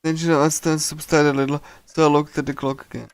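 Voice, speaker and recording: A man reading a visual novel's text aloud on a casual live stream, in a halting, stumbling delivery. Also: a little high, little pitch movement, clear, resonant tone, dry recording.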